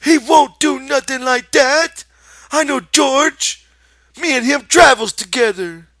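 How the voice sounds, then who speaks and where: A man voicing a simple-minded giant farmhand who pleads in a high, strained wail with a rural drawl. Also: steady tone, slow pace, vocal fry, bright, forceful tone, no echo, full character voice. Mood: frantic.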